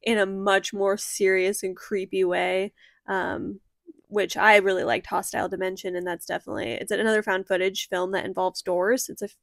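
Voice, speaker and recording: A woman's voice: medium pitch, level tone, moderate pace, creaky, dry recording, clean studio sound. A woman co-hosting a horror review show, talking in a casual, conversational way about films. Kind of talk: casual conversational film discussion.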